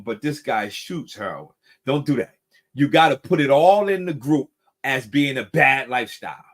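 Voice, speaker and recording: A man's voice: mid-range voice, fairly bright tone. A middle-aged man leading a recovery group, giving a motivational talk that repeats phrases for emphasis and calls the listeners y'all.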